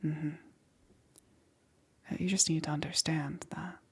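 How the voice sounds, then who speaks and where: Gentle witch, acted by a woman, ASMR roleplay, breathy whisper, unhurried, creaky, hesitant, apologetic, soothing. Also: deep voice, fairly even intonation, full character voice. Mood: hesitant, concerned. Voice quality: soft, breathy, often whispered. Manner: hesitant, halting, reassuring. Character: gentle, apologetic witch necromancer caring for her undead patient. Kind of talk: ASMR roleplay, intimate and slow.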